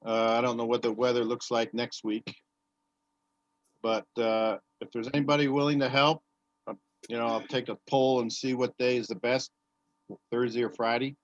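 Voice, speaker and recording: A man's voice: mid-range voice, fairly even intonation, moderate pace, pauses often, no room sound. A man in casual group chat, rambling with restarts as he organizes volunteer help. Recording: dry, dull lo-fi call audio.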